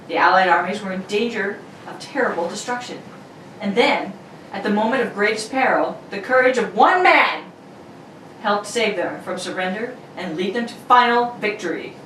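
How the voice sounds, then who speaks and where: A woman's voice: mid-range voice, expressive, normal speed, a bit bright. A woman hosting and announcing a script with playful, jokey delivery. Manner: playful, giggly asides. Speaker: host and announcer. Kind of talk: jokey introduction and old-radio script reading. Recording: roomy, distant mic with faint noise.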